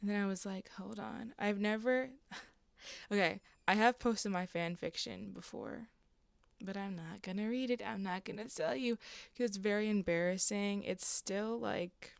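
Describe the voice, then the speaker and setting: A young woman with a raspy, gravelly voice talks loosely and candidly, with plenty of "um"s, "like"s and swearing. Her hoarseness sits over a smooth, resonant tone, and the sound is close and dry with no room around it. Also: mid-range voice, measured pace, no room sound. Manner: casual and candid, with frequent fillers and swearing. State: hoarse from an allergic reaction. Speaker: vlogger. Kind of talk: casual chat to camera.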